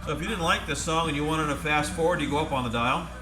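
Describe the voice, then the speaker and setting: A man giving a casual, step-by-step product demonstration in a halting, plain-spoken way. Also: fairly high pitch. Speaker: presenter demonstrating converted car radios. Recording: roomy echo, music playing from radio. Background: music from the demonstrated radio.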